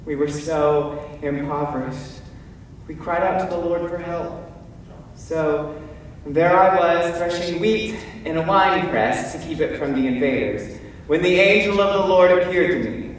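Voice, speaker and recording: A man's voice: on the high side, lightly acted. A man playing Gideon, a fearful biblical warrior, in an emphatic, hesitant stage monologue. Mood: anguished, solemn. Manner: theatrical, emphatic, with deliberate pauses and hesitant stammers. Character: Gideon, fearful, self-doubting biblical warrior telling his story. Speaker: stage actor. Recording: distant mic in echoey hall, faint noise.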